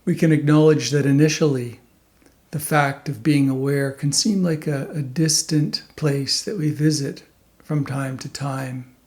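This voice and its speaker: A man leading a guided meditation as a teacher, speaking calmly in unhurried phrases with long pauses between them. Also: fairly high pitch.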